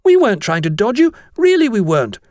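A man voicing an excitable, innocent eleven-year-old schoolboy with eager, earnest delivery in a storybook. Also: high-pitched, fast talker, dry recording, studio quality, pitched above the performer's own voice. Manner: breathless eagerness, protesting earnestly.

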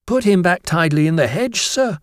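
A man's voice: high voice, lively intonation, a little fast, no room sound, studio quality, voice pushed up. A man voicing an eager, earnest British schoolboy, polite and pleading, in an audiobook. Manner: breathless, earnest, deferential. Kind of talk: audiobook character voice.

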